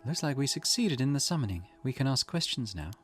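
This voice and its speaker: A composed teenage girl from an occult visual novel gives instructions, read out by a man. The delivery is lively in pitch. Music is clearly heard behind the lines. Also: fairly quick, close to an everyday voice. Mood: calm instructing. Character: composed teenage schoolgirl leading an occult ritual. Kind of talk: video game dialogue read aloud.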